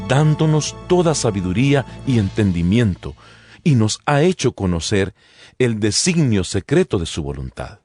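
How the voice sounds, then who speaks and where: A man's voice: lowish voice, darkish tone. A man reading scripture as a dramatized audiobook narration, in a solemn, declamatory manner. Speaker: Bible narrator. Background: background music.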